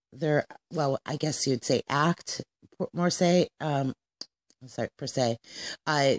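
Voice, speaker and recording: Low-voiced woman hosting radio. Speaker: radio show host. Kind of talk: informal radio presenting.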